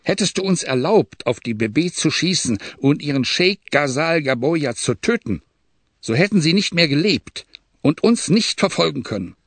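Guy putting on a raging proud young sheikh, barks orders, voice jumps up high, dry close sound. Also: lively intonation, normal speed, dry recording. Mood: furious. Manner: shouting, commanding, accusing. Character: furious proud young male Bedouin sheikh. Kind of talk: audiobook reading.

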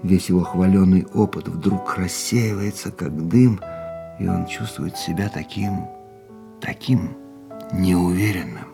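An actor playing an anxious, earnest man in love in a radio drama, with halting theatrical delivery. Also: low-pitched, gravelly, over music, exaggerated voice. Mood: self-doubting, reflective. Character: man in love, anxious, pleading, self-doubting suitor. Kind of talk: radio play dialogue and inner monologue.

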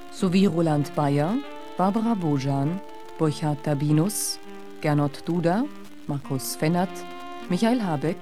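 A formal announcer reads scripted radio drama credits. The voice is mellow and unhurried, with soft music behind it. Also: mid-range voice.

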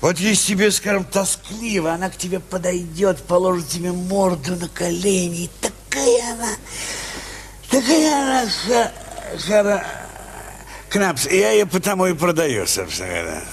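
A man's voice: sits high, lively intonation, moderate pace, slight background noise. An actor playing a drunken, sentimental, insistent man in an audio drama, stammering and repeating himself as he coaxes. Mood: tipsy, rapturous.

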